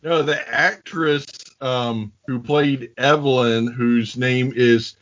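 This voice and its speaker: A man's voice: mid-range voice, steady tone, slow pace, fairly bright tone, no echo, dull audio. A man co-hosting a podcast, recapping scenes in a dry, sarcastic and profane way. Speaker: podcast co-host. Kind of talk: casual chat, recapping a film.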